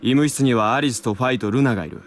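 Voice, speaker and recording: Actor as a brash, fast-talking rough guy. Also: medium pitch, slight background noise, lightly acted. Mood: dismissive. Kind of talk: Japanese video game voice acting.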